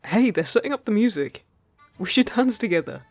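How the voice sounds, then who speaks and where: A man's voice: high-pitched, big pitch swings, fairly quick, dry recording, faint music behind, full character voice. A man playing a friendly, teasing male fairy in an audio roleplay, chatting casually and reassuringly to the listener. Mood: cheerful, inviting.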